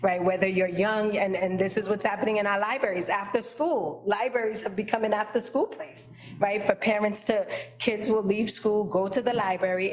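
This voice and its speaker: A woman's voice: medium pitch, roomy sound. A woman, a library trustee whose first language is Haitian Creole, speaking at a committee meeting around a table and thinking aloud, with many tag questions. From United States.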